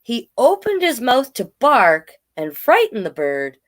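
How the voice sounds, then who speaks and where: Slow, very animated woman storyteller. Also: fairly high pitch. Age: 40s. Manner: playful, expressive. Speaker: children's storyteller. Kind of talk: casual read-aloud storytime with asides.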